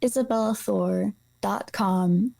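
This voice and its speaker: A woman's voice: medium pitch, takes it slowly, gravelly, dark tone. A woman from Iceland speaking as an interview guest, explaining things in a casual, conversational way. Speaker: guest, nutrition practitioner.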